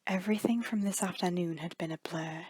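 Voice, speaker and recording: A woman's voice: lowish voice, steady tone, brisk, a little mellow, slightly rough, dry recording. A woman narrator reading a scripted story in a dramatic storytelling style.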